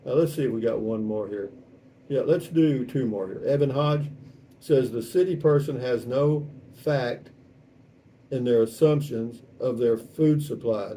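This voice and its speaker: Texan male, 70s, wry folksy vlog host. Very soft-edged, warm tone, casual delivery, distant mic with slight noise. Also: medium pitch. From South Texas, United States. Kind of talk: casual chat, reading out and answering viewer comments.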